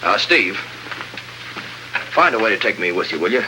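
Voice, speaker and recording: A vintage radio drama recording with faint noise has a deferential male aide. The voice is low, with a very bright, sharp edge, and the manner is polite and earnest. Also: big pitch swings, moderate pace, full character voice, low-quality recording. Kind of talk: 1950s radio drama acting.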